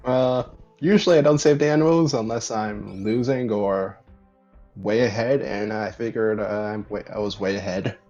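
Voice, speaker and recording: A man's voice: on the low side, normal speed, a little mellow, lo-fi sound, faint music behind. A man from Canada giving short, understated answers as a speedrunner in a casual interview. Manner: laconic, understated. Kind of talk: casual post-race interview.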